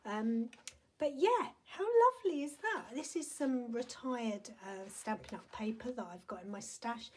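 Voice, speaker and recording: A woman with a croaky, hoarse voice giving a chatty, friendly craft-along tutorial at home, with lots of ums. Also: fairly high pitch, animated, unhurried. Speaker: paper craft demonstrator and product seller.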